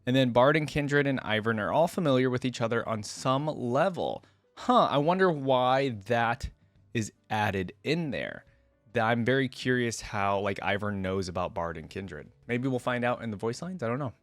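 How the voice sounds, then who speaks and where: A man's voice: mid-range voice, expressive, moderate pace, no echo, soft background music. A man hosting a reaction video, commenting casually and musing aloud in an informal, conversational way. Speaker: host of a reaction channel.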